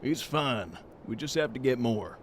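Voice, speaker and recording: A man playing a calm, matter-of-fact medic in an audio drama, with measured, formal phrasing. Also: medium pitch, slight background noise.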